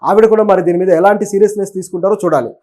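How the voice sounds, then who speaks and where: A man presents sports and political news in a conversational way. His voice sits high and he talks quickly. He explains as he goes, gives his opinions and drops in English words. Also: steady tone, a little mellow. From Telugu states of southern India. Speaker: web news presenter and commentator.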